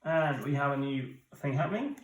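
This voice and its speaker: A man's voice: medium pitch, expressive, moderate pace. A British man in his thirties hosting a board game playthrough, explaining rules and joking. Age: 30s. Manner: chatty, explains rules, jokey asides. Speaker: board game channel host. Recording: echoey room, mic at a distance.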